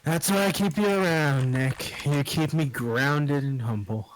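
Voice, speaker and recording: A man reading the part of a rugged, devout monster hunter in his thirties, calm and a little preachy. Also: on the high side, unhurried, slight background noise, close to an everyday voice, poor audio.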